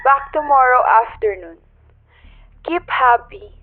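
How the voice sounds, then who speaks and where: A woman's voice: sits high, lively intonation, a little slow, no echo. A woman playing a businesslike telegraph clerk in a radio play, formal and impersonal as she recites. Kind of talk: old radio drama acting. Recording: dry, tinny phone-like sound.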